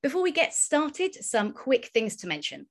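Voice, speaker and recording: A woman's voice: high voice, big pitch swings, normal speed. A woman film critic hosting an online directing masterclass, friendly and upbeat, reading a scripted introduction before interviewing her guest. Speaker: film critic, broadcaster and event host.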